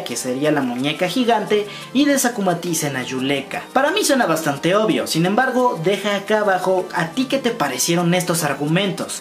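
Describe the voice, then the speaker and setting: A man in his twenties with a Mexican accent, explaining fan news directly to his viewers as a YouTube host. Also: fairly high pitch. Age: 20s. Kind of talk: fan news vlog to camera. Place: small room at home, indoors. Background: background music.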